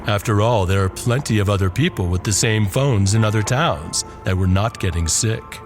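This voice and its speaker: A man narrating a scripted horror story as a podcast host, with deliberate, dramatic and ominous emphasis. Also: fairly low pitch. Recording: music under the voice. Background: music.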